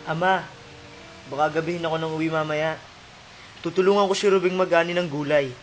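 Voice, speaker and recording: A man's voice: fairly high pitch, fairly even intonation, fairly quick, slight background noise, natural voice. A young Filipino man playing an earnest, protective farmer's son in love in an amateur radio drama. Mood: cheerful, dutiful. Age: young adult. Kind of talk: melodramatic radio drama acting.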